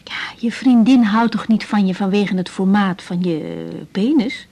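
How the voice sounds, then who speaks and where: An actress playing a kind young doctor in a naturalistic radio drama, informal, tentative and reassuring. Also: female voice, medium pitch, moderate pace, creaky, dark tone. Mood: reassuring, practical. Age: young adult.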